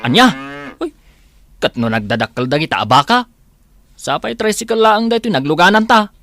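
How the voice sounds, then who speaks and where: A man's voice: high-pitched, sing-song, normal speed, fairly bright tone, dry recording, exaggerated voice. A man playing a deferential village driver in an Ilocano comedy drama, with an Ilocano accent. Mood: puzzled. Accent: Filipino, Ilocano. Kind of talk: acted village comedy dialogue.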